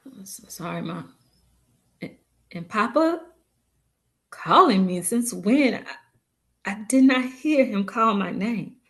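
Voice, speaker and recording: A woman acts a young village daughter in a stage drama. Her voice is medium in pitch and slow, with halting starts and repeated words. She sounds earnest and dutiful, with a playful streak. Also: expressive, fairly bright tone. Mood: apologetic, confused. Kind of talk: staged script read of a drama.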